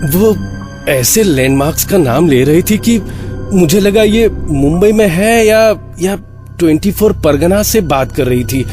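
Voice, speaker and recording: A fairly high-voiced, anxious man played by an actor tells a horror story in the first person. His delivery is slow and very animated, with dramatic halts and repeated words. Faint music runs underneath. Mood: exasperated. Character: anxious, exasperated male flat owner recounting eerie events. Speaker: narrator and lead of a horror story.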